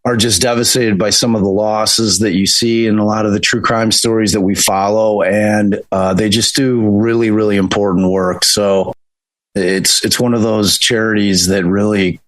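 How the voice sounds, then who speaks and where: A man in his fifties, a defense attorney, answering interview questions in a relaxed, chatty way with frequent restarts. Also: lowish voice, moderate pace, no echo, low-quality recording. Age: 50s. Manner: relaxed, chatty, repeats words when starting a thought. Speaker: criminal defense attorney, podcast co-host.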